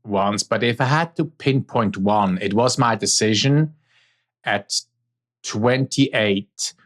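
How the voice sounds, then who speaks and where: A man's voice: fairly low pitch, slow. A middle-aged man from Switzerland, a native Swiss German speaker with a Swiss German accent, giving conversational answers as an interview guest.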